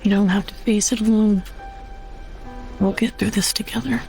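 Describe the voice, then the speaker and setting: Caring military love interest for a film drama, female actor: supportive, steadfast, medium pitch, underscored with music. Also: fairly even intonation, moderate pace, darkish tone, lightly acted. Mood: reassuring. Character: caring, steadfast navy woman, the pilot's sweetheart. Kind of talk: dramatic animatic film dialogue.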